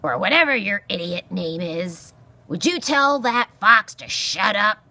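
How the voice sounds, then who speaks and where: A woman's voice: mid-range voice, sing-song, a little slow, vocal fry, sharp tone, slightly rough, no room sound, pitched above the performer's own voice, exaggerated voice. A woman voicing a grumpy old male porcupine in a storybook, barking scornful insults in a growling bluster.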